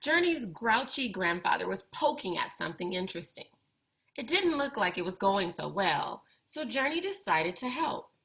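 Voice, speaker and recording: A woman reading a children's picture book aloud with deliberate, expressive phrasing. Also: medium pitch, creaky. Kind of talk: read-aloud storytelling for children.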